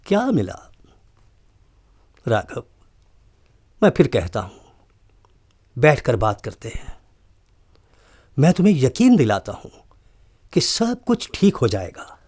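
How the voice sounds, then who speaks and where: An actor playing an earnest, reproachful college principal in a radio drama, questioning emphatically with deliberate pauses. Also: male voice, medium pitch, huge pitch swings, a little mellow, full character voice. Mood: earnest, conciliatory.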